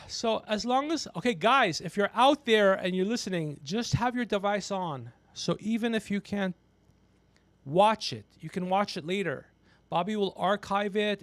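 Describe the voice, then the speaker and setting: A man hosting a podcast livestream in casual banter, teasing, swearing and excitable in manner. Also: high-pitched, dry recording. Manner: teasing, needling, profane. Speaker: podcast host.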